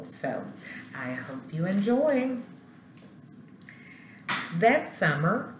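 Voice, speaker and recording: Slow-spoken, low-voiced woman reading aloud. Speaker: elementary school principal reading aloud to students. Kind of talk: children's picture book read-aloud with asides. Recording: roomy echo, faint background noise.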